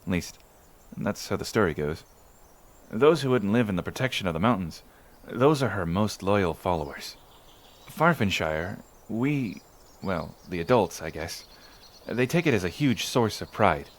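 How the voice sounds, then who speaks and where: Folksy, low-voiced village storyteller played by a man. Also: lively intonation, unhurried. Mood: reflective, musing. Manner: folksy, musing, conversational storyteller. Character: male island villager recalling childhood amid monsters, folksy. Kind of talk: ASMR roleplay storytelling monologue.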